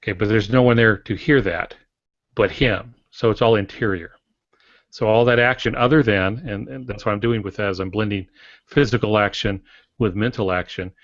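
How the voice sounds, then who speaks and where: Older-style folksy phrasing from a guy on a podcast, deep-ish, dry lofi audio. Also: fairly even intonation, normal speed, no echo, highs cut off. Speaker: podcast co-host and novelist. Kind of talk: casual, reflective discussion.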